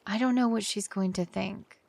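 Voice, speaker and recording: Amazed young woman, slightly creaky voice. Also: medium pitch, steady tone, moderate pace, a little mellow, dry recording. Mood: hesitant, friendly. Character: amazed, curious young woman visitor to a sci-fi city. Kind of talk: full-cast audio drama acting.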